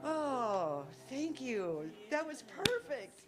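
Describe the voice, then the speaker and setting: A woman with a low voice sharing a rambling, self-deprecating personal story at a church service, in a packed room. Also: big pitch swings, extremely slow, music in the background. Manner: chatty, rambling, self-deprecating, laughs while telling the story. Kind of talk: informal testimony at a church service.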